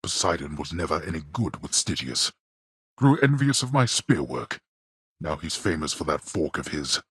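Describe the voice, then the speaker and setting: A man's voice: sing-song, normal speed, a bit bright, no room sound, full character voice. An actor playing a stern, proud god of the dead who scorns his son, speaking with cold, imperious contempt in video game voice lines. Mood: scornful. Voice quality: deep, raspy, gravelly. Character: stern proud male god of the dead, a harsh disapproving father. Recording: dry close studio recording.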